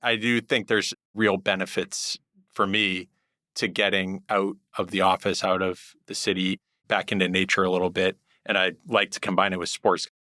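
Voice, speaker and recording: Bright, dry-voiced thoughtful man. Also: low-pitched, dry recording. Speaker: guest, hedge fund group head. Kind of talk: podcast interview answers.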